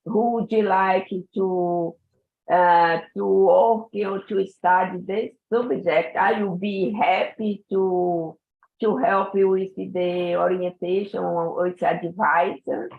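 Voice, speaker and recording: Woman, guest speaker on a tinny phone-like call line, unhurried and searching for English words. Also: on the low side, fairly even intonation, gravelly. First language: Portuguese. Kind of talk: online conference talk. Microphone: video call.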